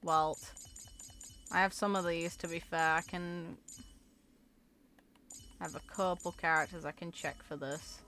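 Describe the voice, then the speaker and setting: A woman's voice: fairly low pitch, fairly even intonation, unhurried, long pauses, bright, forceful tone, soft background music. A woman giving casual gaming reaction commentary in short, wry, informal asides. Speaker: gamer reacting to character voicelines.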